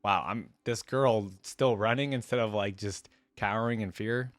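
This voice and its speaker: A man's voice: on the low side, normal speed, dry recording. A man hosting a reaction video, reading a story aloud and adding casual asides.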